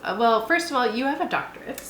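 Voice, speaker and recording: A woman's voice: a little high, expressive, fast. A woman co-hosting a tabletop roleplaying show in loose, casual conversation. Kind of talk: casual chat. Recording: roomy echo, faint background noise.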